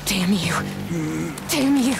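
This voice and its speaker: A woman's voice: medium pitch, lively intonation, slow pace, over loud music. An actress playing a feisty, hot-tempered young woman in a Western game, fiery and outspoken. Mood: angry.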